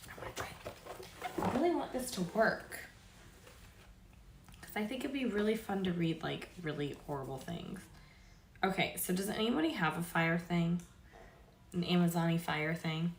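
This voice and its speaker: A woman's voice: fairly low pitch, lively intonation, moderate pace, long pauses, a little hiss or hum. A woman hosting a casual read-aloud stream, informal and sweary, stumbling over longer words and dropping in chatty asides. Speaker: host reading aloud to viewers.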